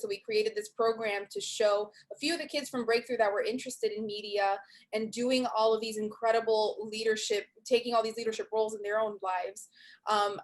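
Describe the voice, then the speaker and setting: A woman in her twenties from Miami, upbeat and chatty with many fillers, presenting as program director on a video call. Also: on the high side. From Miami, USA. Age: 20s. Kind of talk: webinar presentation.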